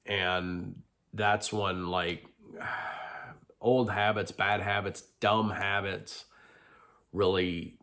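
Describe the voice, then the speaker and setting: A man's voice: sits low, little pitch movement, drawn-out words, pauses often, a bit bright. A middle-aged man with a stuffy, congested nose, talking candidly in a rambling vlog confession full of ums and restarts. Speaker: vlogger.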